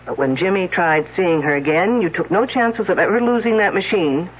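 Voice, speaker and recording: A woman's voice: lowish voice, moderate pace, slightly rough. An actress playing a confident, wisecracking female detective in a radio mystery, needling the suspects in a sardonic way. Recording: tinny old radio broadcast.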